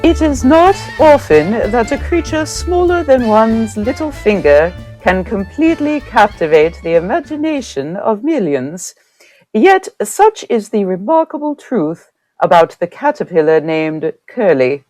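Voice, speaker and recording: A woman playing a dignified, genteel First Lady reading her newspaper column in a measured old time radio drama style. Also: mid-range voice, very animated, darkish tone, faint music behind. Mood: stately, admiring.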